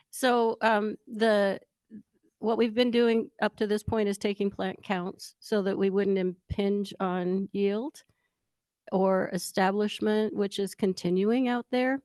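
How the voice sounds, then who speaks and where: A woman's voice: medium pitch, little pitch movement, slow pace, darkish tone, no room sound, clean studio sound. A woman researcher explaining field plans in a meeting, talking casually with many fillers. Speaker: plant researcher. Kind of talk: meeting discussion. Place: conference room.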